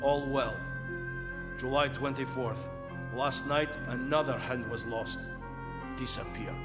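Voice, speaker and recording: Man playing slow, grave sea captain. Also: medium pitch, steady tone, close to an everyday voice. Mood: solemn, measured. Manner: clipped, telegraphic log-entry phrasing. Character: grave, weary ship's captain reading his log. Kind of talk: old-time radio drama acting. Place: performing arts theatre stage. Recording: long hall reverb, loud music behind.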